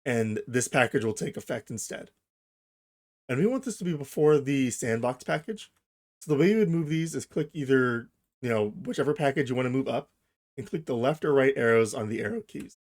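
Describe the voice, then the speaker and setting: A man hosting a software tutorial, explaining each step informally and thinking aloud as he goes. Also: mid-range voice, lively intonation, a little fast. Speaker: tutorial host. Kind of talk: casual software tutorial narration.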